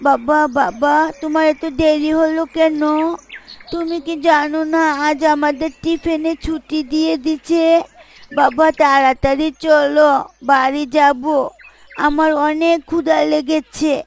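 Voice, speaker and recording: A woman's voice: sits high, fairly even intonation, a little slow, creaky. A woman voicing an affectionate little village girl in a cartoon story. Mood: hungry, impatient. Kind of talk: dubbed moral story cartoon acting.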